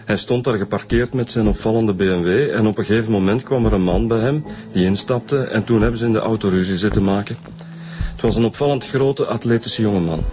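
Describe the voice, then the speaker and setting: An earnest male detective played by an actor in a radio serial, with a Flemish accent and a low voice. He reports in a chatty, slightly hesitant way over prominent music. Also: brisk, mellow, close to an everyday voice. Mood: earnest, doubtful. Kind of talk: radio drama acting.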